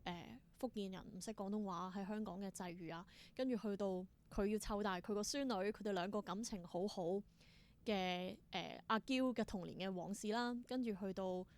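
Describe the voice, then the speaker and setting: Woman, theatre performer as interview guest. Hong Kong Cantonese accent, mid-pitched, casual, enthusiastic storytelling sprinkled with English. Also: normal speed.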